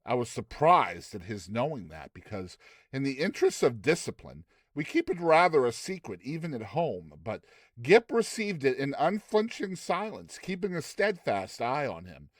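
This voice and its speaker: Theatrical male storyteller, richly varied pitch. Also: sing-song, normal speed, no room sound, clean studio sound. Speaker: narrator, reader. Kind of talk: dramatized audiobook narration of classic fiction.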